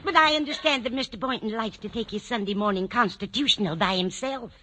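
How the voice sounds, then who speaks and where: A woman's voice: fairly high pitch, fairly quick, close to an everyday voice. An actress playing a kindly older landlady who fusses chattily in a vintage radio comedy. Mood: fussing, concerned. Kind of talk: 1940s-50s radio comedy acting. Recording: noisy old radio recording.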